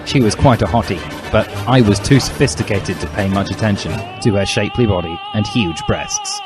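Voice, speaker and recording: A man's voice: fairly low pitch, huge pitch swings, fairly quick. A man reading a story aloud as a mock-serious, bombastic dramatic narrator. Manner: theatrical, bombastic. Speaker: reader of a fan fiction story. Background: music.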